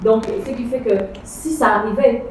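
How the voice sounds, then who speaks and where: A young woman presses her point in a casual debate, circling back and repeating herself, with English and Lingala words dropped in. Her voice is warm and mellow. It is picked up from a distance in a very echoey space, with faint noise behind. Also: on the high side, fairly even intonation, normal speed. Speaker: panel guest. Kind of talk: casual debate on a street panel.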